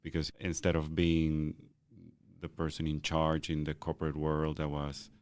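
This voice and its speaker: Man, very deep booming bass, reflective, halting restarts, dry close sound. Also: completely flat delivery, clear, resonant tone, no echo. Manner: thoughtful, halting with repeated starts. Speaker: podcast co-host. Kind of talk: reflective conversational chat.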